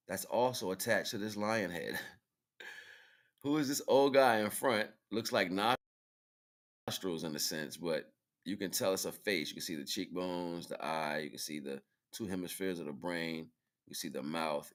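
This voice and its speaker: A man giving casual livestream commentary in informal, slangy talk, repeating phrases for emphasis as he hosts. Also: very deep voice. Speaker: livestream host.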